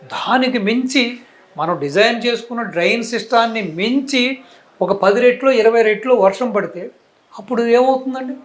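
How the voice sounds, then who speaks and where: A man's voice: very high-pitched, normal speed. A man with a Telugu accent giving opinionated political commentary, emphatic and rhetorical, posing questions and piling up repeated phrases.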